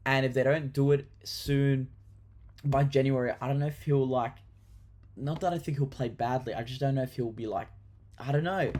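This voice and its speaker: A man's voice: medium pitch, steady tone, moderate pace, a little mellow. Chatty young Australian man. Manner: chatty and enthusiastic, with frequent ums and restarts. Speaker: football vlogger. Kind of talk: casual fantasy football chat to camera.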